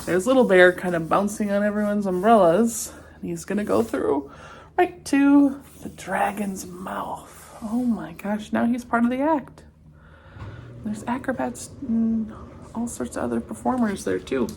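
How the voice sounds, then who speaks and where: A woman's voice: mid-range voice, measured pace, creaky, a little mellow, a little hiss or hum. A woman reading a children's picture book aloud expressively, with chatty asides and exclamations of wonder. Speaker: read-aloud storyteller.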